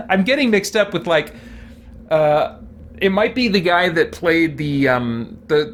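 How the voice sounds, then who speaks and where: A man giving casual, halting gameplay commentary as a let's play host, thinking aloud as he goes. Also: a little high, fairly bright tone, slight background noise.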